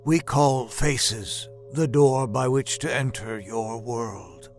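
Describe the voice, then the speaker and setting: Man voicing a slow, solemn alien god. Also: mid-range voice, gravelly, faint music behind, pitched below the performer's own voice. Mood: grave, cold. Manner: slow, grave, deliberate pronouncements. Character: solemn otherworldly Martian creature claiming godhood.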